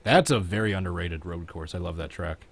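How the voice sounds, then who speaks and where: A man with a very low voice and a Midwestern American accent hosts a casual live stream. He chats and reacts to a race in an offhand way, with noticeable noise behind him. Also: fairly quick, vocal fry.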